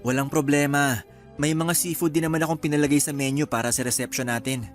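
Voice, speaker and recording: An actor playing an easygoing, accommodating young fiancé in a Filipino radio drama, with a casual, agreeable manner. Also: male voice, fairly high pitch, fairly even intonation, quick pace, faint music behind, close to an everyday voice. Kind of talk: Tagalog radio drama dialogue.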